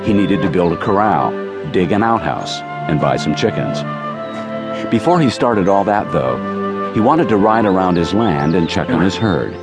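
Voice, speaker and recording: A man's voice: low voice, expressive, normal speed. A man reading scripted narration for a dramatized Western audiobook. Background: music.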